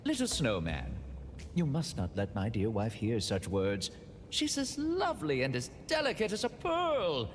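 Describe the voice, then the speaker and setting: An actor playing a flamboyant, doting rich gentleman in a video game, speaking in a theatrical, grandly sentimental way. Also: medium pitch, wildly swooping pitch, unhurried, slight background noise. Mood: flustered, sentimental. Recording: long hall reverb, music behind.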